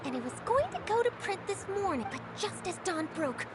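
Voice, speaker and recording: Casting: pushy, perky young female reporter for an animated game; very high, swooping delivery over music. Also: brisk. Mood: eager, pleading. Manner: perky, inquisitive, polite but pushy. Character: eager, persistent young female newspaper reporter. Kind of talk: animated video game voice acting.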